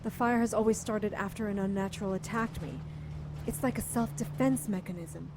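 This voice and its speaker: A woman's voice: medium pitch, normal speed, close to an everyday voice. An actress playing an earnest, anxious woman with a dangerous fire power in an audio drama. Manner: earnest, questioning. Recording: road noise behind voice. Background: car engine ambience.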